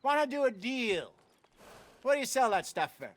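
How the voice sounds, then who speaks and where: An actor playing a cocky, smooth-talking American outlaw, slick and bargaining, in a video game cutscene. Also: male voice, very high-pitched, very animated, moderate pace. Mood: cocky, sly.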